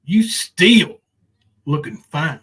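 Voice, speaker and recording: Middle aged guy on a livestream, voice a bit high, casual and sweary chat. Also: animated, extremely slow, a bit bright, husky. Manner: informal, foul-mouthed. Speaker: livestream host.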